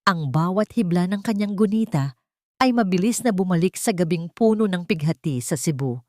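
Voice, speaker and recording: A woman narrating a scripted dramatic story in a measured, solemn manner. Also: fairly low pitch, expressive, dark tone, no room sound, clean studio sound.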